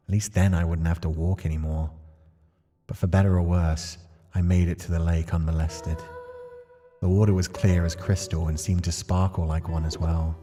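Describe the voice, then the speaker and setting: Man voicing an exhausted wanderer in a visual novel, low, measured and somber, music underneath. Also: mellow, lightly acted. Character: weary, bloodstained wanderer recounting a desperate trek. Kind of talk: dramatic reading of visual novel narration.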